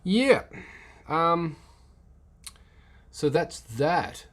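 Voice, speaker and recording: A man's voice: on the high side, sing-song, very slow. A man hosting a casual livestream, rambling and answering chat comments, with many fillers and restarts. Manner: reads and replies to chat comments.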